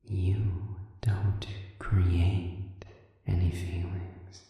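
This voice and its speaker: A performer voicing a sadistic, manipulative demon in a scripted audio roleplay, silkily taunting with slow, deliberate pauses and breathy near-whispers. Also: deep voice, flat delivery, exaggerated voice. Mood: coolly persuasive. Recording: echoing hall reverb, distant dark sound.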